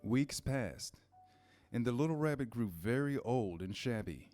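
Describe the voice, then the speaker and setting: A man narrating a scripted children's story in measured, pausing phrases. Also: mid-range voice, expressive, darkish tone. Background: music, guitar.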